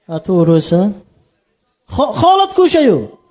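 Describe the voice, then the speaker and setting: A man's voice: high voice, wildly swooping pitch, takes it slowly, a little mellow, exaggerated voice. A man playing a cheerful, naive Tajik migrant man in Moscow on a comedy phone call, speaking broken Russian mixed with Tajik in a Tajik accent. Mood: bumbling.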